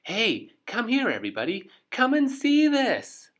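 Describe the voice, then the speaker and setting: Man putting on a high, mischievous little miss. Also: huge pitch swings, a little slow, heavily acted. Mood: gleeful, shouting. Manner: loud, declamatory, goading. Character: mischievous, taunting little female troublemaker in a children's storybook.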